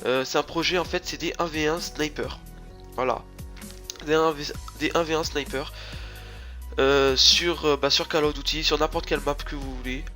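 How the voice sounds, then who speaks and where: A young man talks through a head cold, his voice nasal and congested and sitting a little high. He rambles loosely, full of fillers and false starts. Faint music plays underneath. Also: steady tone, moderate pace, pushed and bright. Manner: rambling, many fillers and restarts. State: stuffy nose from a cold. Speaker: gaming channel host. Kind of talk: rambling casual gaming commentary.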